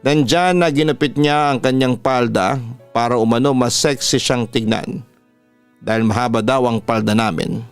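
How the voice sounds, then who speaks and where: A man's voice: mid-range voice, normal speed, clean tone. A man with a Filipino accent, a radio announcer reading a scripted first-person story as host. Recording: music playing behind the voice. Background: background music.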